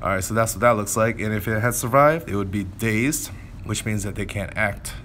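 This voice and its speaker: A man's voice: on the low side, moderate pace, fairly bright tone, slight background noise. A man commentating on gameplay in a casual way, thinking aloud and explaining as he goes.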